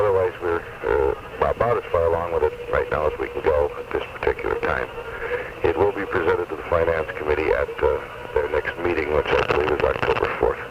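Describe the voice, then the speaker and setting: A male local official answers an interview in a very low, halting voice. He is distant and muffled under loud noise on an old AM radio recording. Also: gravelly. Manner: halting, repeating words. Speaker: city administrator interviewed on radio.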